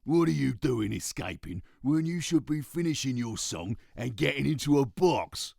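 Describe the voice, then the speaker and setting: A man voicing a grumpy, menacing male badger thug with a gruff voice in a children's storybook. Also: mid-range voice, expressive, moderate pace, darkish tone, no echo, studio quality, pitched above the performer's own voice. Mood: angry.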